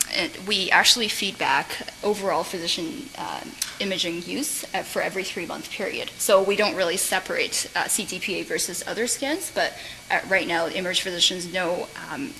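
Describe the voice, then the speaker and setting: A woman's voice: fairly low pitch, pushed and bright, rough recording. A woman speaking off the cuff as she answers questions at a medical conference, with careful, hesitant phrasing. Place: conference room. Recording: faint background noise.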